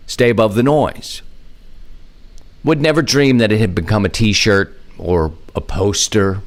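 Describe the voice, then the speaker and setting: A man with a fairly low voice hosts a hobby podcast. He talks casually and informally, with folksy slang, as he runs through announcements. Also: a bit bright. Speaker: podcast host.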